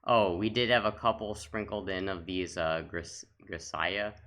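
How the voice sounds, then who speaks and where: A man giving casual, unscripted gaming commentary as a channel host, talking in a halting way as he thinks aloud. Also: low voice, a bit bright.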